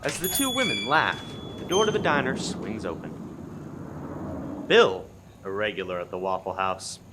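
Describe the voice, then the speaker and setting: A man's voice: fairly low pitch, very animated, moderate pace, heavy background noise. A man reading dramatic scripted narration for a comic radio play.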